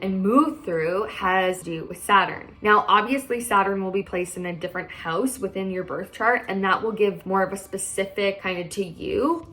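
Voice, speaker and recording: A woman's voice: mid-range voice, a bit bright. Chatty woman explaining astrology casually. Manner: chatty, slangy, playful asides. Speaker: astrologer explaining on her own video channel.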